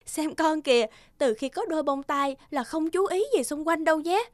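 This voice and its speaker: A woman playing a caring, gently firm young mother in a children's cartoon. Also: high-pitched, moderate pace, no echo, studio quality, close to an everyday voice. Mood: gently chiding.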